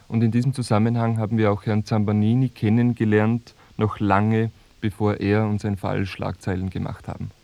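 An actor playing a sober, matter-of-fact radio journalist, measured and deadpan in a mock-documentary report. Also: male voice, fairly low pitch, gravelly, no room sound. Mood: sober, factual. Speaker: reporter-narrator.

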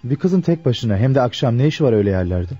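A fast-talking, husky, earnest young shopkeeper. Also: male voice, mid-range voice, animated, dark tone, soft background music. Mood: disapproving. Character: earnest, conservative young male shopkeeper in love. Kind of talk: Turkish radio drama acting. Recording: dull, lo-fi radio drama recording.

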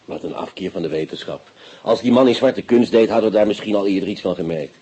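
An actor playing a sceptical, level-headed police inspector in a Dutch radio play, with dry, ironic reasoning. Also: male voice, fairly low pitch, fast, dark tone, husky, muffled recording. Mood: sarcastic.

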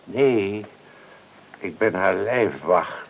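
An actor playing an eccentric, politely blunt freelance bodyguard in a radio play, with deadpan, matter-of-fact delivery. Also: male voice, low-pitched, extremely slow, creaky, heavily acted. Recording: tinny, noisy old radio recording.

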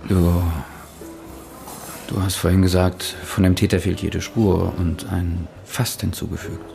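A curious, teasing male journalist in a radio crime drama. His low voice crackles with gravel as he probes casually and wryly, over clear background music. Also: flat delivery, a little slow. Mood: amused, probing. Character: Frank, visiting male reporter, curious, probing, teasing. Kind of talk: conversational radio drama acting.

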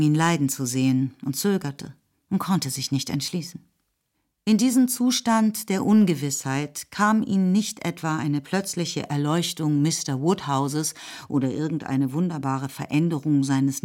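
A woman narrating a radio play in a scripted, literary storytelling style. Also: deep voice.

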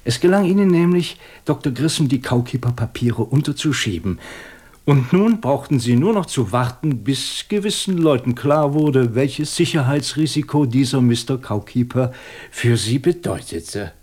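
An actor playing a shrewd, composed police inspector in a German radio crime drama, questioning methodically with dry superiority. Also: male voice, medium pitch, animated, normal speed, a little mellow, lightly acted. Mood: probing, confident. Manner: methodical, probing, dryly superior.

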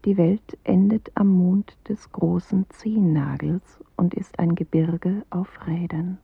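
An actress in a radio play voicing a woman's inner self, reciting poetry in a solemn, hushed, breathy way. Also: on the low side, no room sound, phone-quality sound, full character voice. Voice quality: breathy, husky. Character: inward female self, a solemn first-person poetic voice. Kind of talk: radio play poetic monologue.

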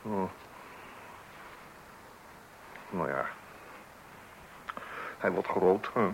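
A man's voice: deep voice, slow pace, creaky, heavily acted. An actor playing an exasperated father of small children in a Dutch radio play, hesitant and blustering, with wry asides. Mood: resigned. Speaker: narrator addressing the listener. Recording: hissy old radio recording.

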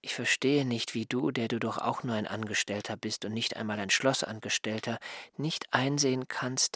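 A reproachful aging assistant. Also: male voice, mid-range voice, pushed and bright, slightly rough, no room sound, clean studio sound, exaggerated voice. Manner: reproachful, complaining, mildly mocking. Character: aggrieved male assistant, no longer young, whiny. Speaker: surveyor's assistant. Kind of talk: radio play acting.

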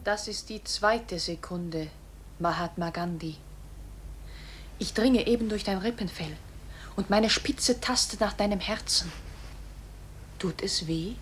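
A woman playing a gentle, apologetic bullet in a poetic radio play, speaking tenderly and with measured calm. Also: medium pitch, slight background noise, close to an everyday voice. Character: gentle, apologetic bullet boring toward a heart.

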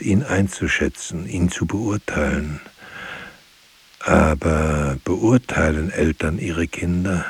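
An actor playing a grave narrator in a literary radio drama, speaking in a solemn, measured way. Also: male voice, sits low, a little mellow, breathy edge, heavily acted. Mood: mournful, reflective. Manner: solemn, measured, terse sentences. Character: grave male narrator recounting lives and losses.

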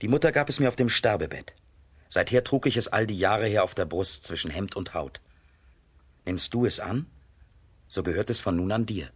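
Husky, earnest young hero. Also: male voice, lowish voice, normal speed. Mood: humble, earnest. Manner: earnest, sincere. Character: brave humble young peasant hero, earnest. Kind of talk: children's radio drama acting.

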